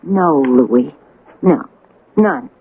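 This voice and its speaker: An actress playing an anxious, placating accomplice to a crook in a 1980s radio drama, hesitant and appeasing. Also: female voice, deep voice, animated, extremely slow, slightly rough, heavily acted. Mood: nervous, placating. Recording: tinny, faintly noisy old radio recording.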